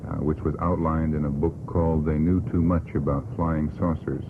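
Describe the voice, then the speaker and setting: Very deep, dry man on old radio. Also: little pitch movement, normal speed. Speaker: radio panelist. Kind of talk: unscripted radio panel discussion. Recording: muffled, noisy vintage radio broadcast.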